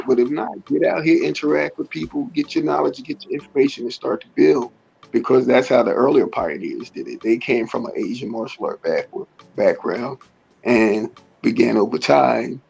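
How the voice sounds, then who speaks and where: A middle-aged man with a raspy voice, speaking as an interview guest in a halting way with many fillers and restarts. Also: medium pitch, darkish tone, highs cut off. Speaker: martial arts teacher, interview guest. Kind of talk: casual conversational interview.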